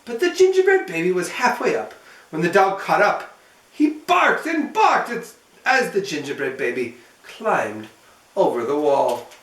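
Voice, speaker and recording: A man's voice: high-pitched, big pitch swings, a little slow, a bit bright. A man reading a children's storybook aloud as host, narrating expressively and playfully for young listeners. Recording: roomy echo, mic at some distance.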